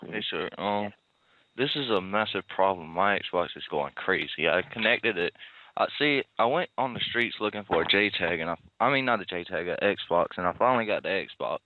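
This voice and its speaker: A man's voice: sits low, animated, normal speed, no echo. A man talking in a slangy, rambling way as a prank caller on a casual phone call. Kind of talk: casual phone call, feigning a tech problem. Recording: muffled narrowband phone call.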